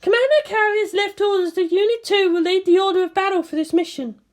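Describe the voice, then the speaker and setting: Woman voicing a high-pitched female military commander. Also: fairly quick. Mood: businesslike. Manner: matter-of-fact, commanding. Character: brisk adult woman military operations director.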